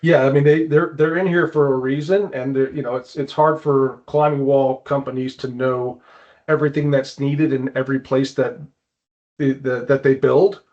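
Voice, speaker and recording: A man's voice: medium pitch, fairly even intonation, normal speed, darkish tone. A man giving an informal explanatory presentation in a conversational way, on slightly dull lo-fi audio.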